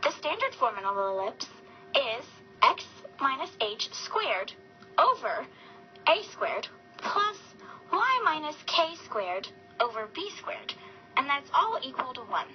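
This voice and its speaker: A high, lively sheep puppet, voiced by a woman, presents a lesson to children. Faint music sits behind her. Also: takes it slowly, dull audio, heavily acted. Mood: instructive. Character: female sheep puppet teaching kids maths.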